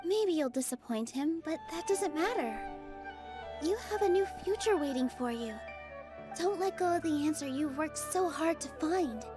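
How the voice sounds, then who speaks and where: Gentle young female performer, anime game role. High voice, kind, careful delivery, music underneath. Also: lively intonation, moderate pace. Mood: reassuring. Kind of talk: anime video game voice acting. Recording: music behind the voice.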